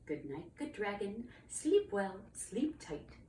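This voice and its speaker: A dutiful, long-suffering knight from a children's storybook, played by a woman, with dramatic and lively delivery. The recording sounds roomy, with faint noise. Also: medium pitch, slow pace, distant mic. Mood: weary, kindly. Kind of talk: children's storybook read-aloud.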